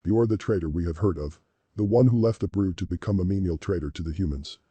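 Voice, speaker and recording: A man playing a scornful, proud alien warrior hardliner in a video game, with a very husky growl and a contemptuous manner. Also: low voice, quick pace, dark tone, dry recording. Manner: contemptuous, challenging.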